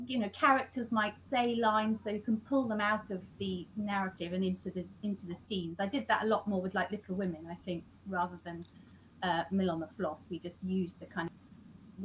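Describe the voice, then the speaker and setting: A woman speaks over a video call at an even, middling pitch, explaining her writing process in a halting way. She keeps breaking off and rephrasing mid-sentence as she thinks aloud. A faint hiss sits behind her voice. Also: poor audio. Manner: halting, self-correcting, many restarts. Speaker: scriptwriter. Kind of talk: conversational interview.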